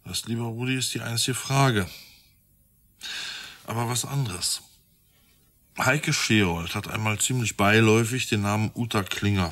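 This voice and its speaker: An actor playing a methodical, matter-of-fact police detective with a wry touch in a radio crime drama. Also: male voice, on the low side, fairly bright tone. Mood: wry, thoughtful. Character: methodical, dryly reasoning male police detective. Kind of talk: naturalistic radio drama dialogue.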